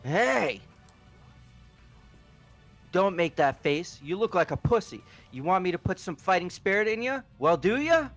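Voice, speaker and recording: A man's voice: high-pitched, very animated, a little slow, long pauses, a bit bright, faint music behind, heavily acted. A man voicing a brash, aggressive young tough guy in a video game, with a blunt manner. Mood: belligerent. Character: brash, foul-mouthed young male delinquent tough guy. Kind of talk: amateur visual novel voice acting.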